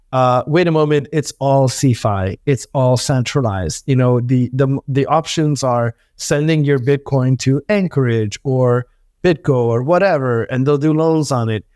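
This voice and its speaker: A man's voice: medium pitch, a little mellow, no room sound. Rambling man in his thirties, dry sound. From born in Hungary, raised in the US. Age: 30s. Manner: quick, rambling, many fillers and restarts. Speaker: startup founder guest. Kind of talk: casual interview talk.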